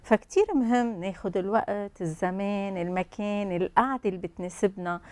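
A woman's voice: mid-range voice, a little fast, a little mellow. A woman in her fifties with a Lebanese accent, explaining as a guest expert in a conversational way with French terms mixed in. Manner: mixes French terms into Arabic. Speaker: guest expert, couples and family counsellor. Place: TV studio. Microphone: lapel mic.

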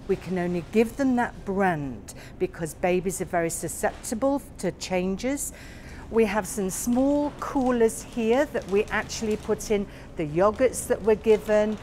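A woman guiding a warehouse tour, explaining each area in a slow, plain way. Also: mid-range voice, a little mellow, a little hiss or hum. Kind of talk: guided tour narration.

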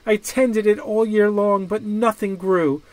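A man's voice: sits high, steady tone, measured pace, no echo, voice pushed up. A man voicing an earnest, ashamed young boy pleading in a children's storybook. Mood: upset, pleading. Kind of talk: children's storybook read-aloud.

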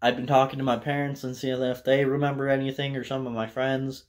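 A young man with an American accent and a medium-pitched voice talks off the cuff as a vlogger. His storytelling is casual and halting, wandering as he goes and peppered with profanity. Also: level tone. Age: young adult. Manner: loose, profane, halting delivery. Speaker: vlogger telling a personal story. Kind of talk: casual rambling storytelling to camera. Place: small indoor room with bare wall.

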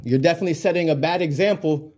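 A man's voice: on the high side, racing, darkish tone. A man arguing with officials in an insistent, repetitive way, recorded on a handheld camera in an indoor office. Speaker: citizen journalist filming an audit. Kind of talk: argumentative confrontation with officials. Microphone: handheld camera held by the speaker.

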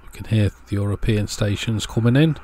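A man narrating a hands-on electronics demonstration as host, explaining step by step in a measured way. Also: lowish voice, mellow, a little hiss or hum. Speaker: host of an electronics repair channel.

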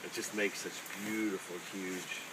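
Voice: deep voice, flat delivery, normal speed, pushed and bright. A person making casual, admiring commentary outdoors in a group, with ambience around. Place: outdoor yard at night. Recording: noisy, distant from mic outdoors.

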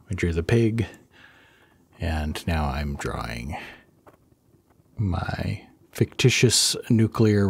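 A man hosting an art channel, narrating his drawing in a casual voice-over. He sounds under the weather and worn out, with a raspy voice and a rambling, soft-spoken manner. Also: deep voice. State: under the weather, low energy.

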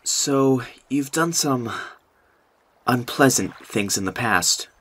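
A man voicing an anxious, guilt-ridden young goat monster prince in an animated comic dub, with a hesitant, expressive delivery. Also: mid-range voice, takes it slowly, breathy edge, heavily acted. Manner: hesitant, self-doubting, expressive. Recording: music behind the voice.

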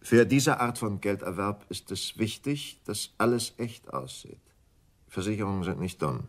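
Man playing a suave, low-voiced scheming stockbroker. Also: close to an everyday voice. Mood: urgent, controlled. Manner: suave, deliberate, condescending. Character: smooth scheming English stockbroker planning insurance fraud. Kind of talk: German radio crime drama acting.

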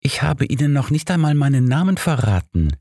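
Male narrator as flirtatious woman passenger, forward, raised pitch, storybook reading, close dry sound. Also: big pitch swings, fairly quick, dark tone, no room sound. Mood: cooing, eager. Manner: cooing, coquettish. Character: flirtatious forward lady train passenger, later shrill and panicked.

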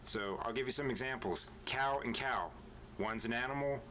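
A man from Northern California with a nasal voice and a Northern Californian accent. He explains the region's speech in a measured, informative way in a played video. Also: mid-range voice, a crowd in the background.

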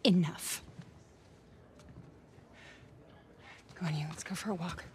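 An actress playing a stern but caring middle-aged settlement leader in a naturalistic game cutscene, speaking plainly and firmly. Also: female voice, lowish voice, quick pace, darkish tone, a little hiss or hum, lightly acted. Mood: commanding. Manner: plainspoken, firm.

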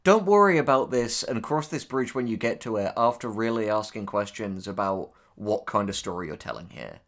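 A man's voice: fairly low pitch, expressive, normal speed, a bit bright. Man casually debating as co-host. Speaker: podcast co-host and audio drama writer. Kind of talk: casual discussion and critique.

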